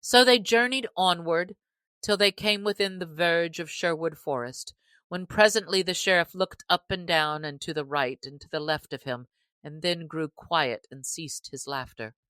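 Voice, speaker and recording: Female audiobook narrator, low-pitched, measured and even; dry close sound. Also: no room sound. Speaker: audiobook reader. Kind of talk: classic novel narration.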